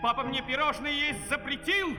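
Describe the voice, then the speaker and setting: A man's voice: very high-pitched, moderate pace, over music. An actor playing a plucky young boy in a children's fairy-tale opera, with earnest theatrical delivery. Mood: excited. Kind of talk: 1970s children's theatrical audio drama. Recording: reverberant hall on vinyl, loud orchestra.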